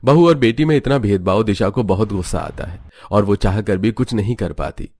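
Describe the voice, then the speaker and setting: A man's voice: fairly low pitch, fairly quick, darkish tone, slightly rough. A man narrating a cartoon family story from a script.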